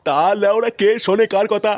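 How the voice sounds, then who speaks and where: A man's voice: high-pitched, fairly quick, darkish tone, slight background noise, close to an everyday voice. A man voicing a fretful old village grandfather in a cartoon, grumbling with emphasis. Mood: alarmed, grumbling. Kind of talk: cartoon voice acting.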